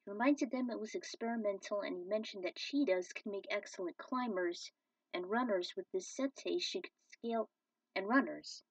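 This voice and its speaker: A woman's voice: medium pitch, moderate pace, husky, no room sound. A woman narrating and commenting on her own fan fiction as an amateur storyteller. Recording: dull, slightly distant microphone.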